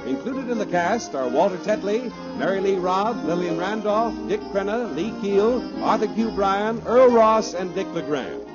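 Animated American male radio announcer, music behind. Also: sits high, moderate pace, few pauses. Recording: muffled old radio recording, music behind.

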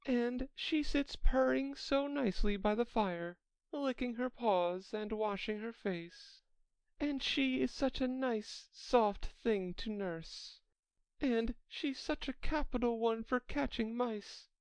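A woman's voice: on the high side, takes it slowly, darkish tone, clean tone, no echo, voice pushed up. A woman voicing a polite, chatty little girl in a children's storybook, earnest and apologetic as she rambles on. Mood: soothing, chatty.

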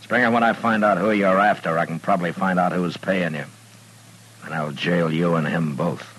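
An American actor playing a steady, dry-humored marshal in an old radio western, speaking in a laconic, firm way. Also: male voice, low voice, moderate pace, husky, low-quality recording. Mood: stern. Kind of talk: 1950s radio western acting and narration. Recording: old radio drama with music.

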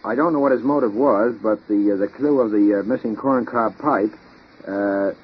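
A man speaking as a radio quiz guest, formal, careful and halting. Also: fairly low pitch, husky. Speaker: guest quiz panelist, charity executive. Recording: muffled, noisy 1930s radio recording.

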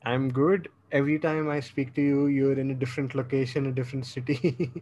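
Flat-voiced man hosting a podcast. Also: mid-range voice, a little fast. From India. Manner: relaxed, slightly hesitant with fillers. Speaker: podcast co-host. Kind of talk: casual podcast chat.

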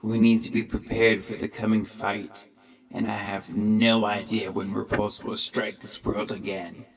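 A man's voice: fairly low pitch, flat delivery, measured pace, gravelly, pitched above the performer's own voice, low-quality recording. A man voicing an eager, earnest robot ranger who leads a team in a superhero audio drama. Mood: serious resolve.

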